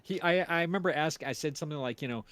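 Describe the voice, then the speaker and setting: A man hosting a casual livestream chat, telling stories in a rambling, halting way. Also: a little high, a bit bright, clear, resonant tone, no echo. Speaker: stream host and series producer.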